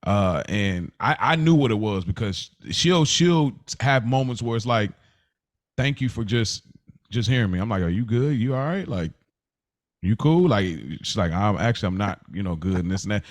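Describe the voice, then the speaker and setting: A man's voice: fairly low pitch, lively intonation, normal speed. Colloquial man, emphatic and repetitive. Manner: colloquial, emphatic, repeats phrases; reflective, empathetic. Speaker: podcast co-host. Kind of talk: casual personal chat.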